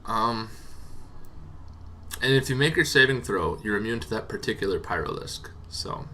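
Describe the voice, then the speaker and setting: A man hosting a tabletop roleplaying show, explaining casually and off the cuff in a rambling, halting way that repeats words. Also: fairly low pitch, expressive, sharp tone, slight background noise. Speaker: host of a tabletop roleplaying monster lore show. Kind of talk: casual unscripted explainer to camera.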